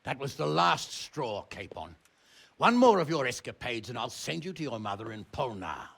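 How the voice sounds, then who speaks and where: An actor playing a stern, exasperated noble uncle in a medieval video game, blustering, sarcastic and blunt. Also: male voice, mid-range voice, very animated, normal speed, vocal fry, a bit bright, husky, full character voice. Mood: angry scolding. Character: stern, exasperated noble uncle scolding his wayward ward. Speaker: medieval lord and guardian.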